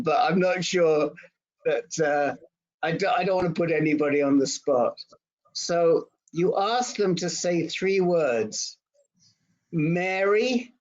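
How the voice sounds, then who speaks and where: A man's voice: fairly high pitch, dull audio. A man moderating a support group in a casual, chatty, joking way over an online call.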